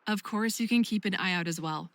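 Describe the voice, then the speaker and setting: A woman's voice: medium pitch, brisk, a bit bright, no room sound, natural voice. A woman playing a caring, protective young best friend in a visual novel game, earnest and emotive. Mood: reassuring.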